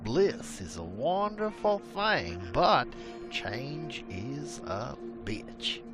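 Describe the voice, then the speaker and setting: Slow, folksy male storyteller with swooping pitch. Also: sing-song, music in the background. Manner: rustic old-timey storyteller phrasing. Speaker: storyteller narrator. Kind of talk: folksy scripted narration of a frontier legend.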